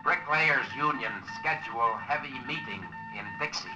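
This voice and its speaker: A secret agent transmitting in a vintage radio drama. His urgent, terse delivery sounds distant and dull, with music clearly playing behind. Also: fairly high pitch, huge pitch swings, normal speed. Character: urgent male agent sending coded radio messages. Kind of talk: 1950s radio drama acting.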